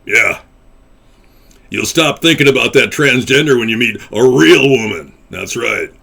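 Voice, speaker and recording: A man's voice: medium pitch, animated, measured pace, gravelly, sharp tone. A man hosting a casual call-in web show, rambling and crude, calling people buddy. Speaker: host and presidential candidate.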